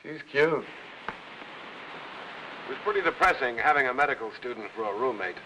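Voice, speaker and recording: A man's voice: medium pitch, expressive, a little fast, close to an everyday voice. An American man in his thirties acting a cocky, flirtatious military officer with teasing, wry delivery in a 1950s Hollywood film. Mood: playful, flirtatious. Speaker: actor. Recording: hissy vintage film soundtrack.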